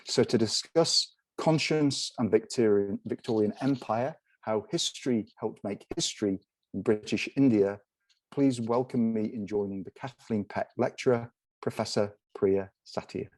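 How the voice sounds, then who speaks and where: A man hosting an event who formally introduces a guest lecturer, slowing down to read out titles. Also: fairly low pitch, darkish tone, no echo, poor audio. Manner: measured, slows on titles.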